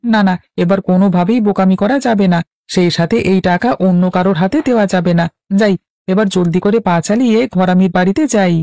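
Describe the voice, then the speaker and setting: An actor as a gullible young husband, mellow voice. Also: male voice, high-pitched, fairly quick, dry recording, clean studio sound. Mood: worried, dithering. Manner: naive, dithering. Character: gullible simple-minded young village husband, anxious to please. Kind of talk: Bengali cartoon voice acting.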